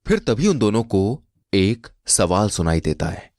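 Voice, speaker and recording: Low voiced guy telling a scripted story, very animated intonation, dry recording. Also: a little slow, no echo. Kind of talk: scripted Hindi moral story narration.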